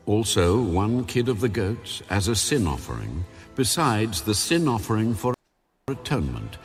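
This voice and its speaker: Very deep man reading scripture, reverberant. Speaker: audio Bible narrator. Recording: long hall reverb over background music. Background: music.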